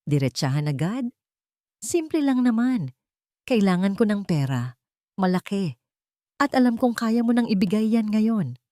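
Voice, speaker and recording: A woman's voice: mid-range voice, very animated, unhurried, pauses often, warm tone, no room sound, pitched above the performer's own voice. Female narrator as male ex-lover, smug, threatening, taunting, desperate, audio romance story, dry sound.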